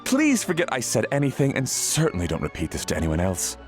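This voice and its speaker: An actor playing a polite, worried household butler in a fantasy video game, speaking in a deferential, formal and hesitant way. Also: male voice, medium pitch, huge pitch swings, fairly quick, soft background music. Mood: uneasy, confiding. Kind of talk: scripted video game dialogue.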